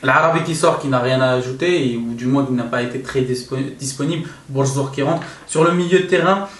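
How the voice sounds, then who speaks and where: A man giving an informal, halting football match analysis on his own, often stopping to correct himself. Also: mid-range voice. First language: French-speaking, not an Arabic speaker. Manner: hesitant, halting, self-correcting delivery. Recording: roomy echo, distant microphone.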